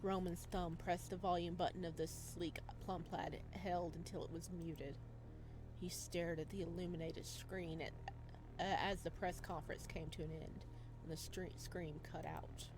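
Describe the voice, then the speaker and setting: A woman's voice: lowish voice, little pitch movement, normal speed, background noise. A woman reading a visual novel aloud as dramatic narration for a let's play.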